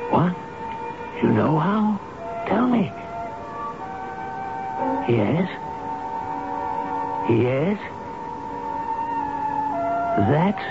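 An actor playing an addled, gentle, childlike old man who talks haltingly and repeats himself in a 1970s radio drama. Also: a little high, gravelly, heavily acted. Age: older. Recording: tinny narrowband old radio broadcast with music.

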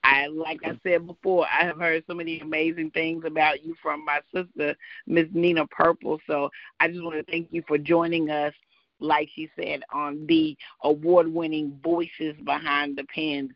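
A woman's voice: deep voice, level tone, normal speed, no echo, dull audio. A woman from Carolina, co-hosting a casual radio interview in a gushing, emphatic way. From Carolina, United States. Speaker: co-host and interviewer.

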